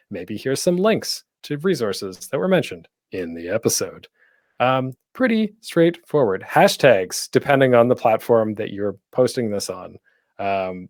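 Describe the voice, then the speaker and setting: Guy giving a casual how-to talk, chatty and a bit jokey, pitch bounces around a lot, dry sound. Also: a little mellow, no echo. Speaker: panel host giving a podcasting tutorial. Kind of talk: casual, informal presentation.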